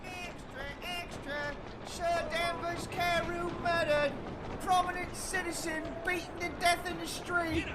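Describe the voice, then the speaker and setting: A street newsboy played by a woman hawks extra editions in a very high voice. The calls are sing-song on one level pitch, over faint street noise in a radio play. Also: flat delivery, a little slow. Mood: urgent, hawking. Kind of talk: gothic radio drama acting.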